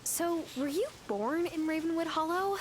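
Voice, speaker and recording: A woman's voice: very high voice, normal speed, slight background noise. An actress playing an upbeat young witch, newly settled in a village, in a cozy video game. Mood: curious, cheerful.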